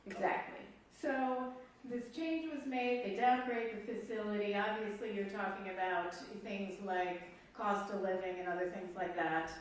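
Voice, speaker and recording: A woman with a medium-pitched voice speaks formally as an official at a public hearing. She is deliberate and halting, leaning firmly on her key points. The room is very echoey and she sounds distant from the microphone. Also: fairly even intonation. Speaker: board official questioning staff at a hearing. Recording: echoey room, distant mic, faint noise.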